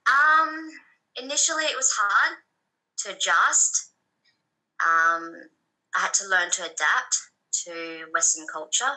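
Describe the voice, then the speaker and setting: A woman in her thirties, from Thailand and raised in Australia, answering interview questions in a soft, hesitant way with frequent pauses. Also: medium pitch, rough recording. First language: Thai. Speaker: guest. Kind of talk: personal story interview.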